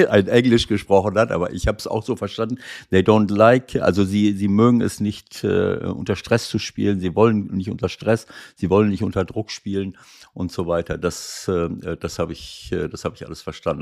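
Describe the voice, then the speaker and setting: A man's voice: low-pitched, gravelly, darkish tone. An older man, a football coach and commentator, chatting on a podcast in a rambling way with many hesitations. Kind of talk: casual podcast chat.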